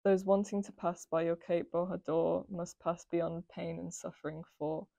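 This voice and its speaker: A teenage girl student reading a translated poem aloud in a steady, careful way. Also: fairly low pitch, moderate pace, creaky, no echo. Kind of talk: poetry reading.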